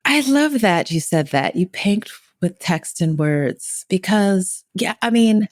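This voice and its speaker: A woman's voice: mid-range voice, slow pace, dry recording, studio quality. A woman hosting a podcast, reading a guest's bio and chatting casually, with lots of encouraging murmurs. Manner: lively, encouraging, frequent murmured affirmations. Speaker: podcast host. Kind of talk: casual interview chat.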